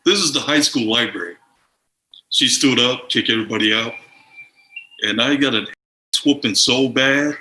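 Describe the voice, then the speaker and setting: Bright-voiced man telling stories. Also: medium pitch, moderate pace, pauses often. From Samoa. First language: Samoan. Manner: chuckling, lively anecdote telling. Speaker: guest sharing memories. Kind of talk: casual storytelling. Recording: echoey room with faint noise.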